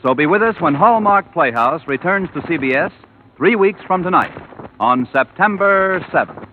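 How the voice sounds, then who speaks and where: A man's voice: fairly high pitch, animated, moderate pace, slight background noise. A man with an American accent introducing programs in 1950s radio announcer style. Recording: tinny vintage radio recording with music.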